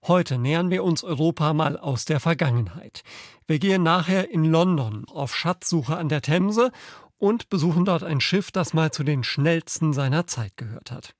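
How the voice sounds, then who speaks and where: A man's voice: fairly high pitch, no room sound, studio quality. A man hosting a radio programme, presenting scripted introductions. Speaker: radio host.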